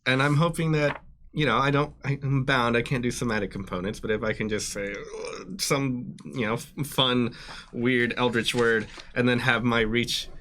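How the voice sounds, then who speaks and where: Man, bright sharp voice, casual tabletop player, halting, faint background noise. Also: mid-range voice, steady tone. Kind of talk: casual game chat.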